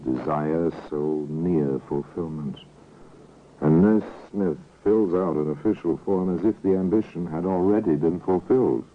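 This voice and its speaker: A man's voice: a bass voice, a little hiss or hum. An actor as a grave, solemn male narrator of crime stories, in a portentous old-time radio style on a tinny vintage recording.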